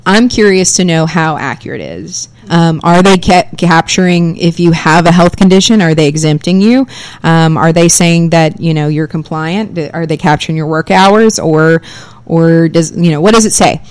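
A woman with a lowish, projecting theater voice talks fast and freely as a guest on a radio talk show. She explains policy in a chatty, easygoing way, full of ums and you-knows. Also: a little mellow. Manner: chatty, fluent, explanatory with many fillers.

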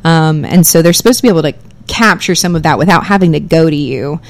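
A woman's voice: sits low, fast, gravelly. Chatty woman advocate with a projecting voice. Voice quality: strong projecting theater voice. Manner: chatty, fluent, explanatory with many fillers. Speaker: guest policy advocate. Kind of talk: casual radio talk show chat.

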